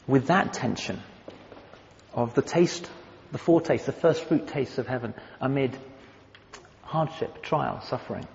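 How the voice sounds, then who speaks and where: A man preaching a sermon to his church, conversational and thoughtful, pausing to search for words. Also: medium pitch, a little hiss or hum. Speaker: pastor. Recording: echoey hall, dull lo-fi sound.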